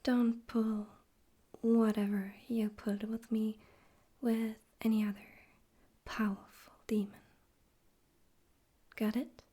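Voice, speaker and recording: A woman voicing a smug, theatrical male demon overlord in an ASMR roleplay, in a soft breathy whisper with a deliberate, teasing, condescending manner. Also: fairly high pitch, little pitch movement. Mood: smug, fond.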